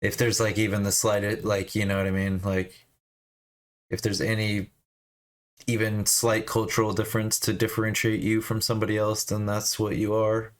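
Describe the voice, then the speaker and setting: A man's voice: on the low side, monotone, normal speed. A man co-hosting a casual discussion podcast, talking loosely with many restarts and some laughter. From United States. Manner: chatty, laughing, lots of restarts. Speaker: co-host.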